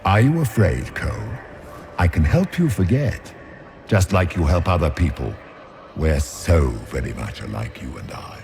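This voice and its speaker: An actor playing an ancient fear demon in a video game, taunting with cruel mock politeness. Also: male voice, low voice, big pitch swings, unhurried, full character voice. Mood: sly contempt. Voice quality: gravelly, menacing. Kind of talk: video game villain acting.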